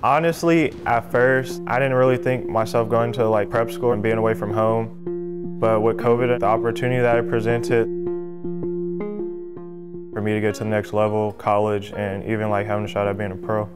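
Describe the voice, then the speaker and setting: A teenage basketball player speaking casually and laid-back in an interview. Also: male voice, lowish voice, little pitch movement, moderate pace, music in the background. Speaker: high school basketball player.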